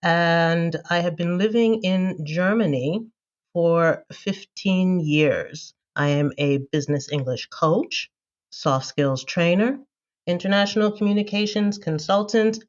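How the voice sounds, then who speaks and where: Measured American woman on a muffled call. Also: fairly low pitch, animated. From Philadelphia, United States. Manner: affirming, measured, often agreeing. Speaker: podcast guest, business English coach. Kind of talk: casual interview chat. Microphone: remote call.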